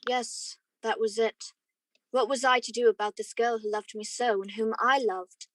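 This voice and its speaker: Woman as a lovestruck gentleman in a radio play, tender earnest delivery, a bit high, no room sound. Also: steady tone, normal speed, no room sound, natural voice. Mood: wistful. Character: earnest, lovestruck young gentleman narrating his romance. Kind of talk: radio play acting and narration.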